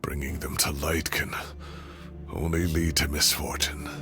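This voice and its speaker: A man's voice: extremely low pitch, level tone, unhurried, creaky, music in the background, heavily acted. A man voicing a weary, secretive old professor in a video game, grave and resigned. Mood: weary, guarded. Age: older.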